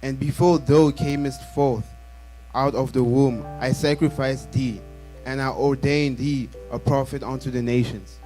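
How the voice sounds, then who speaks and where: Male church interpreter, medium pitch. Halting consecutive delivery of a sermon, rewording on the fly, light music behind. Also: fairly even intonation, dark tone, poor audio. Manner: halting, rephrases as he translates. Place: church, before a congregation. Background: faint music.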